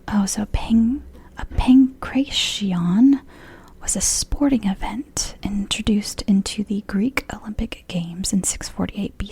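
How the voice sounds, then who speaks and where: A woman's voice: medium pitch, a little mellow, husky. A woman in her thirties reading aloud in an ASMR whisper, with chatty asides and apologies, her throat sore from whispering. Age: 30s. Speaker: ASMR creator. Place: home.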